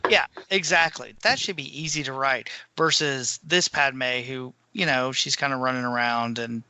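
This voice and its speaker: A man's voice: medium pitch, steady tone, normal speed, pushed and bright, smooth, no room sound. A man co-hosting a podcast, discussing books in a colloquial, opinionated way. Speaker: podcast co-host.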